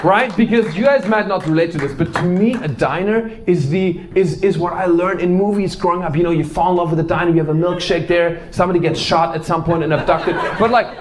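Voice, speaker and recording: A man's voice: high-pitched, fairly quick, hardly any pauses. A man with a German accent doing brash, joking crowd work as a stand-up comedian on a club stage. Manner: loud, joking, brash, swearing. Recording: crowd noise from a live comedy show. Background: audience laughter.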